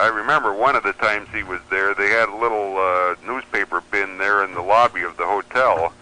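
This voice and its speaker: Very bright-voiced man telling rambling anecdotes. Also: lowish voice, low-quality recording. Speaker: radio hobbyist and columnist guest. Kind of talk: casual anecdotal chat.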